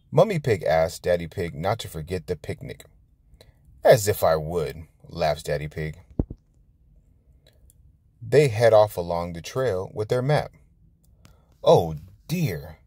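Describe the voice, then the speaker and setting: A man with a low voice reads a picture book aloud for children. His pace is unhurried and his intonation moves around a lot. The recording is close and dry. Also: a little mellow, no echo. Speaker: storytime channel host and reader. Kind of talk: children's storybook read-aloud.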